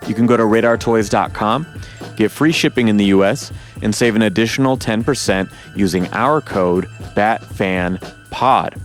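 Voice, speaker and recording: A man hosting a podcast in a casual, upbeat and jokey way, his voice a little hoarse. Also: fairly low pitch, moderate pace, soft background music. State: slightly hoarse. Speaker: podcast host.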